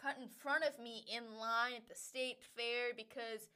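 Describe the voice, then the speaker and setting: Young woman, from Wisconsin, podcast co-host, blunt and profane, laughs a lot, fairly high voice. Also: steady tone, measured pace, bright, forceful tone, clear, resonant tone. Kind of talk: casual chat.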